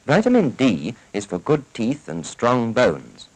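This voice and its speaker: A man's voice: mid-range voice, huge pitch swings, measured pace, low-quality recording. A man with a British accent giving a formal, instructive scripted narration in the style of a 1940s educational film, on a vintage soundtrack. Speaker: narrator of a public information film.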